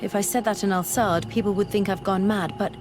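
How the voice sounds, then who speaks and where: An actress playing an earnest, bookish young female scholar in a video game, speaking in a measured, articulate way. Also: mid-range voice, fairly even intonation, close to an everyday voice. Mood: thoughtful, hopeful. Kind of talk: video game dialogue acting. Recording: music behind the voice.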